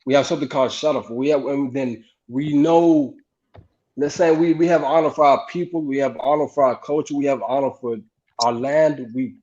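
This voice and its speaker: A man's voice: medium pitch, fairly even intonation, moderate pace, dark tone. A young man from Somalia in a heated casual debate, defensive, restarting often and tacking "man" onto his lines. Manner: defensive, repeats "man" and "look", stammers. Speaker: debate guest. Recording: muffled stream with faint noise.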